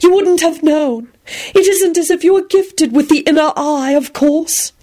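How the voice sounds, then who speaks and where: A woman's voice: high-pitched, a little slow, mellow, pitched above the performer's own voice. A woman voicing a dramatic, tragic fortune-teller witch in a narrated story. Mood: soothing, condescending. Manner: soothing, sighing, screeching when crossed.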